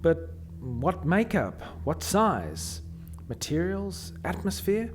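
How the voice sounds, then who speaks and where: Radio play assessor: male actor as keen alien expert, fairly high voice, animated delivery, faint noise behind. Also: slow pace, soft background music, heavily acted. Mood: probing, impressed.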